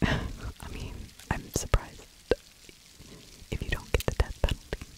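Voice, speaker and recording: A middle-aged woman narrates in a steady ASMR whisper, with no voice in it, only breath. She sits close to the microphone and talks in a conversational, opinionated way. Pauses break up her true crime storytelling. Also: rough recording. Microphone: close microphone with furry windscreen.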